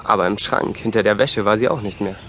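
An actor playing a gruff, defensive, hot-tempered young man in an audio drama. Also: fairly low pitch, fairly even intonation, fast talker, a bit bright, slight background noise, close to an everyday voice. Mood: bitter, confiding. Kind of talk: German audio drama acting.

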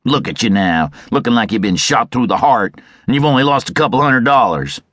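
A man voicing a bullying, sneering outlaw in a Western story, with taunting, rough frontier talk. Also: mid-range voice, lively intonation, normal speed, bright, forceful tone, no room sound, pitched above the performer's own voice. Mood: scornful. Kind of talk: Western audiobook character.

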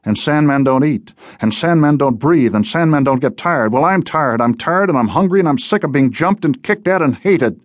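A man's voice: fairly high pitch, expressive, moderate pace, hardly any pauses, no echo, highs cut off, voice pushed up. A man voicing a bitter, defiant young woman on the run in an audiobook. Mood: bitter, accusing. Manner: cold, bitter, accusing. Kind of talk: audiobook character voice.

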